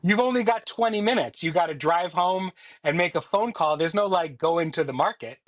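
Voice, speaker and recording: A man's voice: high voice, moderate pace, no echo, dull audio. A man hosting a podcast, talking in a conversational narrating and interviewing style. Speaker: podcast host.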